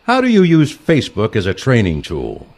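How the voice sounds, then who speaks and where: An actor playing an older retired male assassin, a stern mentor with a dry, curt, deadpan manner in a comic audio play. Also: medium pitch, moderate pace. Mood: matter-of-fact.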